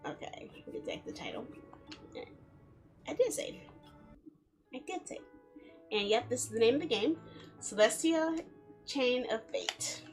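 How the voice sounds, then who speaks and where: A woman's voice: fairly high pitch, expressive, measured pace, long pauses, soft background music. A woman streaming a game, chatting casually and reading story text aloud in a playful way. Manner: giggly, playful, chattering to herself. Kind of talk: casual livestream chat with visual novel read-alouds.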